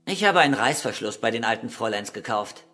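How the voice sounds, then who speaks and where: Smug, cynical criminal. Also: male voice, medium pitch, animated, fast, pushed and bright, soft background music. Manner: condescending, mocking, calculating. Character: cynical, smug male killer and drug dealer under questioning. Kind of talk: radio crime drama acting.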